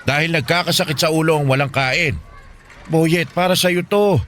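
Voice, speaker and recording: A man's voice: fairly high pitch, moderate pace, a bit bright, close to an everyday voice. A man acting a poor, stern but loving Filipino guardian in an emotional radio drama. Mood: angry, scolding. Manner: emotional, scolding and pleading in turns. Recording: music bed behind the voice.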